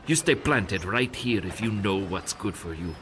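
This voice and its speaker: An actor playing a crude, leering pirate ringleader in an audio drama, threatening and coarse. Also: male voice, fairly low pitch, animated, normal speed, fairly bright tone, a little hiss or hum. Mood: menacing. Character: crude, menacing male river pirate ringleader. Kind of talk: full-cast audio drama acting. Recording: heavy background ambience under voice.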